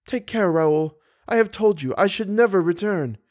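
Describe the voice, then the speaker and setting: Man voicing an anguished young woman, pitched up. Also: expressive, normal speed, a little mellow. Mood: firm, fearful. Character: anguished young woman, frightened, earnest and passionate. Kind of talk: audiobook character dialogue.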